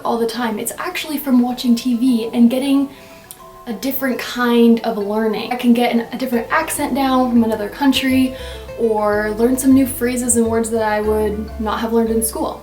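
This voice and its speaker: Young woman, upbeat casual vlogger, higher voice, recorded off-mic in an echoey room. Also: darkish tone, far from the mic. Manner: chatty and upbeat, lots of "like" and "right?". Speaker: language-learning vlogger. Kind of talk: casual to-camera vlog.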